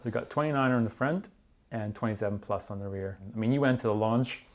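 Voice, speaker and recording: A man's voice: on the low side, fast talker. Casual man reviewing mountain bikes. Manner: hedging, informal, frequent "like" and "kinda". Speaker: mountain bike magazine reviewer. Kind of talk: casual product review discussion. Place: small indoor studio workshop.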